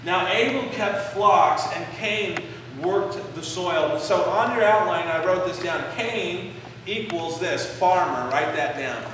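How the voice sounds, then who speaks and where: Man, pastor, high voice, sermon, distant camera, long church reverb. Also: a bit bright, a little hiss or hum. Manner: explanatory, gestures while preaching, pauses on key phrases. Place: church sanctuary, indoors, medium-sized. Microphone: far camera in the pews. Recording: echoey church from far off.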